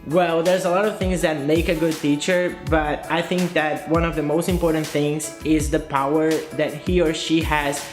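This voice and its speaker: A man's voice: fairly high pitch, level tone, moderate pace, hardly any pauses, echoey room, faint music behind. A man in his twenties from Brazil, telling his story playfully and answering interview questions. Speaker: guest YouTuber. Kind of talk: scripted vlog monologue and interview answers.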